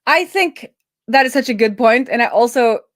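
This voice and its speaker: A woman's voice: on the high side, lively intonation, normal speed, no room sound. A woman chatting casually and informally on a livestream, thinking aloud as she works. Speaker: livestream co-host and web developer. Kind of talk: casual livestream chat while working through code.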